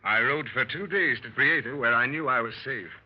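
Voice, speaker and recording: An actor playing a seasoned American adventurer who narrates his story, brisk and commanding, with a husky voice, in a 1930s radio play. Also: medium pitch, close to an everyday voice. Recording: muffled 1930s radio recording.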